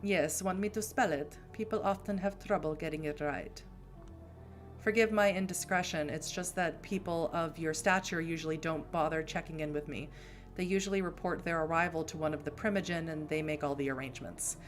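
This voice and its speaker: A woman's voice: low-pitched, fairly quick, soft background music, lightly acted. A woman voicing a composed, formal aristocratic woman from Prague, with a made-up Czech accent.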